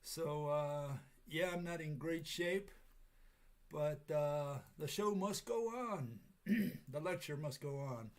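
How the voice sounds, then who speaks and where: A man with a raspy, hoarse voice from a cold, giving a casual lecture with many pauses and fillers. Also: on the high side. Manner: halting, many fillers, chuckles at himself.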